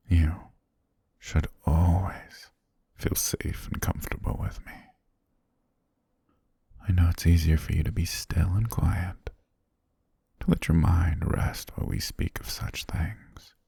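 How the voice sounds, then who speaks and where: Guy doing a calm controlling master for asmr, deep n breathy, real slow, coaxing, right up on the mic. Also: dry recording, exaggerated voice. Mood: soothing, coaxing. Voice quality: breathy, half-whispered. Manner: slow, gentle, coaxing, softly commanding. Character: calm, possessive male governor soothing his hypnotized pet. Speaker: ASMR voice actor. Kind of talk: ASMR roleplay. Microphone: very close, dry microphone.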